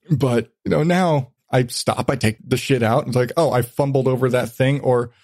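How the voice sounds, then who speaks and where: A man's voice: medium pitch, unhurried, vocal fry, a little mellow, no room sound, studio quality. A man hosting a podcast in a casual, profane chat, telling things animatedly with acted-out asides. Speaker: podcast host.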